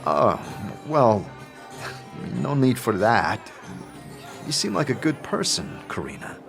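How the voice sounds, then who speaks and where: An actor playing a wry but kindly scarred amnesiac wanderer in a fantasy audio drama. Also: male voice, mid-range voice, sing-song, slow, vocal fry, music in the background, exaggerated voice.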